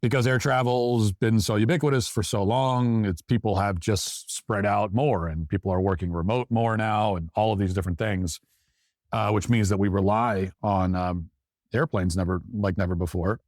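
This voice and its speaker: A man with a fairly low voice delivers opinion commentary like a talk show host. He leans on key phrases and repeats them for emphasis. The sound is very dry, with no room on it. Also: steady tone, normal speed, darkish tone, no echo.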